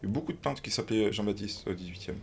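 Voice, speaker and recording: A man's voice: fairly low pitch, racing, vocal fry, a little mellow, husky. A man in casual podcast chat, joking about himself and swearing freely as he talks food and computers. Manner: loose, swearing, self-mocking. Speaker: guest cook.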